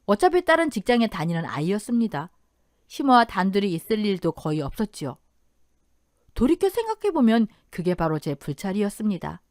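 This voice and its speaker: A woman's voice: mid-range voice, a little mellow, no echo, studio quality. A woman narrating a dramatic first-person radio story from a script.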